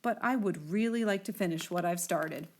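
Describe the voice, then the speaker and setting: A woman's voice: on the low side, moderate pace, close to an everyday voice. A woman voicing an eager, earnest, helpful little girl from a storybook. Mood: cheerful.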